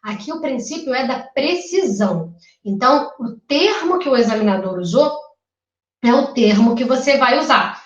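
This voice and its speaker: A woman's voice: high voice, lively intonation, moderate pace, highs cut off. Carioca woman teaching, roomy and distant. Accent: Carioca Brazilian Portuguese. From Rio de Janeiro, Brazil. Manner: chatty, checks in with "tá?" and "né?". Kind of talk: live online exam-prep lecture.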